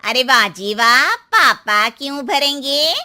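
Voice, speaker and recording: A woman's voice: high-pitched, lively intonation, bright, forceful tone, full character voice. A woman playing a greedy, scolding middle-aged mother-in-law in a dramatized moral story, bossy and taunting. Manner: taunting, bossy, drawn-out delivery.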